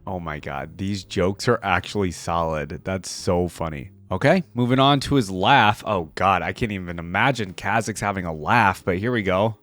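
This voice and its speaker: A man's voice: low voice, normal speed, hardly any pauses, a bit bright. A young man hosting a reaction video, giving casual commentary in an informal, slangy way.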